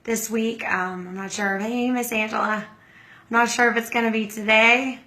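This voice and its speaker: A hoarse woman hosts a casual livestream, rambling warmly to viewers and stopping to greet them by name. Her voice sits a little high and bright under the strain of the hoarseness. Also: fairly even intonation, moderate pace. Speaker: livestream host.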